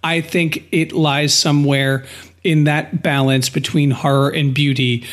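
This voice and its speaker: A man co-hosting a podcast, discussing analytically in a deliberate, slightly formal conversational way. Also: fairly high pitch, flat delivery. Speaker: podcast co-host.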